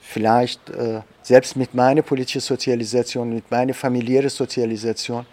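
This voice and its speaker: A man's voice: medium pitch, flat delivery, a little fast, darkish tone. A man, an education trainer, reflectively telling a personal story in an interview, with frequent fillers and restarts.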